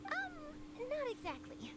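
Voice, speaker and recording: A woman's voice: very high voice, huge pitch swings, unhurried, heavy background noise, heavily acted. A woman playing an earnest young reporter in a video game, telling her story in an articulate, emphatic way. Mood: dramatic, expository. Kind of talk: scripted video game dialogue. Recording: game audio under loud music.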